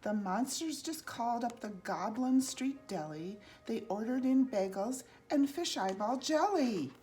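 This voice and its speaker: A woman reading a rhyming children's picture book aloud in an expressive, singsong, deliberate way. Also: on the high side. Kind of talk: read-aloud children's storytelling in rhyme.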